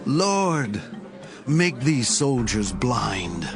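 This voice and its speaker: An actor playing an authoritative, measured prophet in a dramatized Bible reading. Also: male voice, mid-range voice, sing-song, heavily acted. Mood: prayerful. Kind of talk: dramatized Bible audio acting. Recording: music behind the voice.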